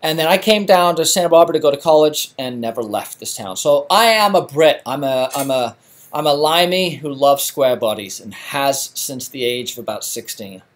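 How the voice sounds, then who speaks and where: A man's voice: medium pitch, expressive, moderate pace. A middle-aged man from England hosting a live stream, chatting casually with lots of fillers and restarts. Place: home garage.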